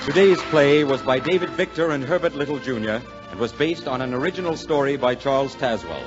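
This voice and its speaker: Male radio announcer, formal, promotional, 1940s style, muffled recording, clear music behind. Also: medium pitch, animated, brisk.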